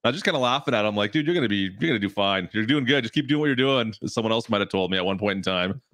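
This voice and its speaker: A man in his thirties chats fast and with lots of lift and fall in his voice, often restarting his sentences. He speaks into a studio mic on an arm in a home streaming room, and the sound is close and dry. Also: on the low side, no echo. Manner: quick, animated talk with restarts. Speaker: co-host. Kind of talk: casual livestream chat.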